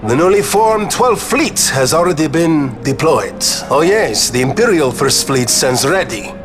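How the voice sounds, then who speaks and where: A man's voice: on the high side, lively intonation, unhurried, music in the background. An actor playing an eloquent nobleman in a video game, speaking in a formal, articulate and theatrical manner. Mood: urgent, emphatic. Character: eloquent adult nobleman giving a grave war briefing. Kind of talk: dramatic video game voice acting.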